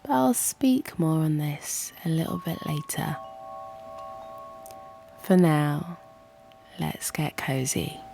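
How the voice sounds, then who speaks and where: A woman guiding a meditation in a soothing, hushed, gently encouraging way, her soft breathy voice often close to a whisper. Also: sits low, expressive, takes it slowly, long pauses. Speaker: yoga nidra guide and channel host. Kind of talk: guided meditation. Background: soft music.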